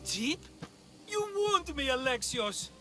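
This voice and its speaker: An actor voicing a cheerful, wheedling small-time schemer and merchant in ancient Greece, theatrical and persuasive, in a video game cutscene. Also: male voice, extremely high pitch, sing-song, slow pace, music in the background, heavily acted. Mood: playfully wheedling.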